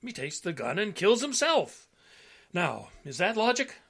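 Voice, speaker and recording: A man's voice: high voice, very animated, unhurried, vocal fry, fairly bright tone, heavily acted. A man playing an opinionated, folksy police lieutenant in an old-time radio drama, arguing his case emphatically. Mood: argumentative. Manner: emphatic, colloquial, argumentative.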